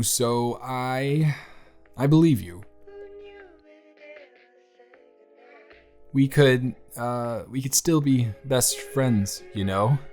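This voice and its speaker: A young man playing an earnest, lovestruck best friend in an intimate audio roleplay, speaking in a halting, conversational way. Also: mid-range voice, lightly acted. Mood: tentatively happy. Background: background music.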